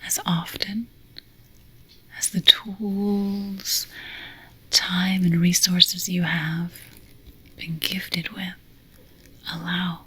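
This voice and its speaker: A woman hosting ASMR, whispering a scripted ceremonial address of affirmations in a breathy, solemn, deliberate way. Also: fairly low pitch, level tone, bright, forceful tone. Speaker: ASMR roleplay host.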